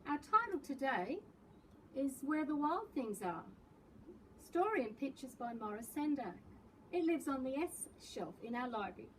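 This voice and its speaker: Animated high-pitched woman reading to children. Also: slight background noise, poor audio. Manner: theatrical, deliberate, addresses small children directly. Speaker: school librarian reading to young children. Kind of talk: expressive picture-book read-aloud.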